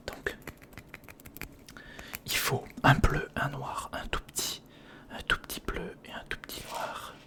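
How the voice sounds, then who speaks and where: A man speaks in a breathy whisper close to the microphone, murmuring casual hands-on ASMR commentary in fragments, as if thinking aloud. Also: slow, pauses often. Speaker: ASMR video creator. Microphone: close recorder microphone.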